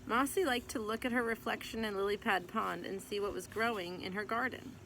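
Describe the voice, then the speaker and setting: A woman reads a picture book aloud in the manner of a teacher sharing a story with a class. Her pitch sits in the middle range for a woman. There is faint but noticeable noise behind her voice. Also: fairly even intonation, brisk. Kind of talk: picture book read-aloud, scripted narration. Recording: clear background noise.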